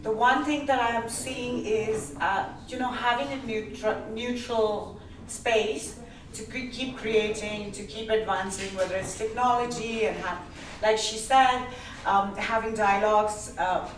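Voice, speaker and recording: A woman from India, a real estate entrepreneur speaking haltingly on a panel. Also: on the high side, steady tone. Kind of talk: panel discussion. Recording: roomy sound from far off, noisy.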